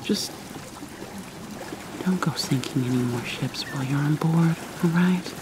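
A woman playing a guilt-ridden, prickly but caring vampire mermaid in an ASMR roleplay, halting and formal, slipping into whispers. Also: deep voice. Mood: reluctant, gruff. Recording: noisy ambience with water sounds.

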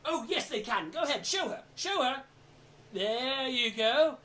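A performer playing an eager, flustered, bumbling storyteller in an interactive children's show, with an excitable, stammering, coaxing manner. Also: high-pitched, measured pace, distant mic, slight background noise, full character voice. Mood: eager, delighted. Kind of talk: theatrical children's storytelling with audience participation.

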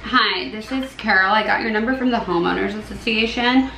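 A woman's voice: medium pitch, brisk, vocal fry, natural voice. A young woman playing a polite, wordy middle-aged neighbour making an earnest complaint on a prank call. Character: polite, put-upon 48-year-old neighbour mother complaining. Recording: roomy echo with music behind.

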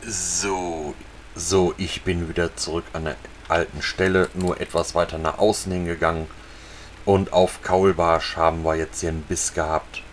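A man casually talking viewers through a fishing video game, relaxed and chatty. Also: deep voice, normal speed, a little hiss or hum. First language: German. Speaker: gaming channel host. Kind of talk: casual let's-play commentary.

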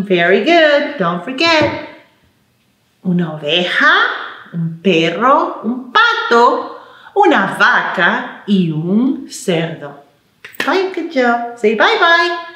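A woman's voice: sits high, pushed and bright. A middle-aged woman presenting a children's storytime, speaking expressively and encouragingly to small children. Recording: roomy indoor echo.

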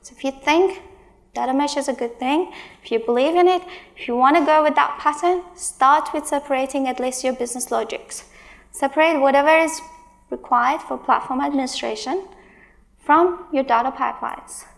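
A woman's voice: high-pitched, normal speed, creaky. A woman in her thirties giving a conference talk on stage, emphatic, with exasperated asides. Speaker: conference speaker. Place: large stage in a hall.

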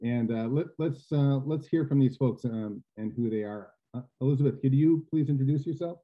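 A man's voice: mid-range voice, normal speed. Chatty man on tinny call audio. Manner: chatty, filler-heavy, restarts often. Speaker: admissions host. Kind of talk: webinar introduction. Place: home living room, indoors. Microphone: video call webcam.

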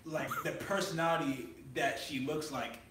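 A man's voice: fairly high pitch, normal speed, far from the mic, over loud music. A man around twenty, a model in a photo studio, chatting casually and hesitantly, heard from a few feet away from a phone. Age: 20s. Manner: hesitant, repeats himself. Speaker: male model and musician. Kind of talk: casual chat. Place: photo studio in a garage, indoors. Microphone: phone camera a few feet away. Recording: roomy, off-mic with faint hum.

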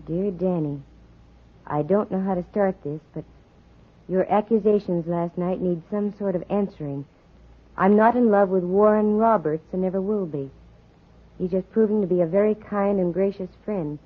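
An actress playing a dry, wisecracking, brisk female private eye in a 1940s radio detective drama. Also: mid-range voice, breathy edge, close to an everyday voice. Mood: musing. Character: wry, brisk female private eye, slangy and coolly scheming. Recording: muffled, phone-like vintage radio recording.

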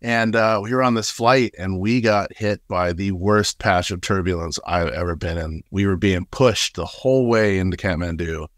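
A man in his forties who grew up in Nepal, a guest telling animated stories with frequent laughs over a webcam from a small room. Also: low voice, moderate pace, hardly any pauses, dry recording, clean studio sound. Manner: animated, laughs often, gestures broadly. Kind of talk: casual storytelling. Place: small room with paper screens. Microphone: video call webcam.

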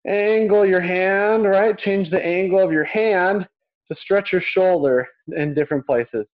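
High-pitched young male workout coach. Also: unhurried. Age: young adult. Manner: encouraging, short repeated cues; physically active while talking. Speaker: fitness coach. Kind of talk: instructional workout coaching with counted cues. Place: small indoor home gym. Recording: dull lo-fi webcam audio.

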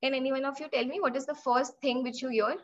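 A woman's voice: sits high, fairly even intonation, quick pace. A young Indian woman with an Indian English accent, teaching an online class on data analytics, explaining with examples, asking her students questions, and often repeating or restarting phrases. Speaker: webinar instructor. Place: indoor room, online session. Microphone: laptop mic on a video call. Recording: dull lo-fi video-call audio.

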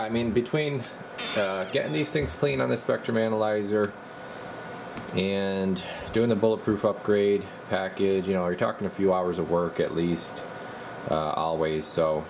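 Man, deep creaky voice, informal technician walkthrough, thinking aloud, noticeable background noise. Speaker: radio repair technician presenting his work. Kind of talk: casual hands-on repair demonstration.

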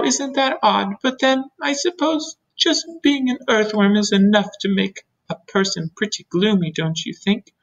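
Woman as a high, confiding little ladybug. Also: distant mic, natural voice, poor audio. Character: female ladybug, gossipy, sympathetic, easily worried. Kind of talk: children's storybook character voice.

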